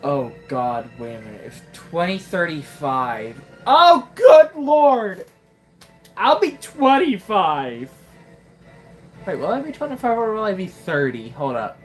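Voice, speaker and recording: A woman's voice: fairly low pitch, big pitch swings, slow, faint music behind. A woman in her twenties casually commenting on her gameplay during a live stream, wry and joking, often exclaiming to herself. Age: 20s.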